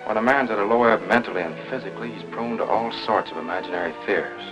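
An actor playing a concerned, persuasive family doctor in a 1950s film melodrama, with an American accent. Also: male voice, fairly low pitch, fast talker, highs cut off, over music, natural voice. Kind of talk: 1950s Hollywood melodrama acting. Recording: old 1950s film soundtrack.

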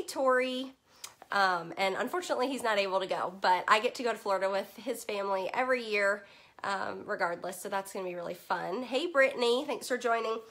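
A woman's voice: medium pitch, a bit bright. A young woman from Virginia who is losing her voice to allergies, chatting upbeat and casual, with many "um"s, to a live group from a room at home in front of bookshelves. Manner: chatty and upbeat, many "um"s, greets viewers by name. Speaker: children's book consultant and host. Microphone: webcam at desk distance.

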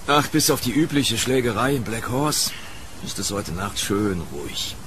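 A man's voice: medium pitch, expressive, normal speed, lightly acted. An actor playing a grumbling but friendly policeman on night patrol in a horror radio drama, with a weary, familiar manner. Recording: noisy rain ambience behind voice.

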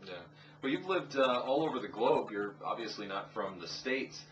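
A man's voice: mid-range voice, lively intonation, normal speed, fairly bright tone. A man working as an interviewer, asking questions in a casual conversational style. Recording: dull, distant, faintly noisy interview audio.

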